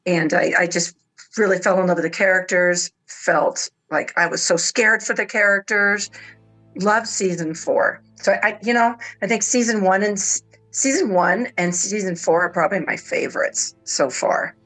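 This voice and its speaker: Bright-voiced woman of about sixty, enthusiastic. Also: mid-range voice, faint music behind, poor audio. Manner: enthusiastic, halting with repeated words. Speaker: panel guest on a radio discussion. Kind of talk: casual chat. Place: radio roundtable discussion.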